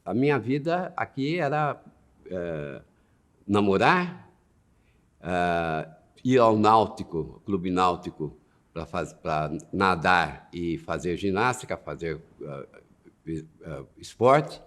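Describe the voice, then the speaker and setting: A man in his nineties, a war veteran, recalling his youth slowly with pauses and fillers. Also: low voice, lively intonation, fairly bright tone. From Brazil. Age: 90s. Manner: slow, halting, with many pauses and fillers.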